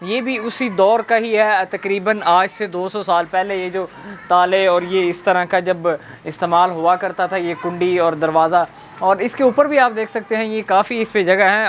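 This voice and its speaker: A man with a Pakistani accent presenting a walk-through documentary on location, explaining in a halting way. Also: high-pitched, fairly bright tone, a little hiss or hum. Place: on location in an old fort's streets.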